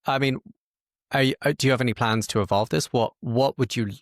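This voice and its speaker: A man's voice: mid-range voice, fairly even intonation, fairly quick, no echo, studio quality. A man hosting an interview podcast. He keeps restarting his questions and answers with upbeat affirmations. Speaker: podcast host. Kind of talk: interview host.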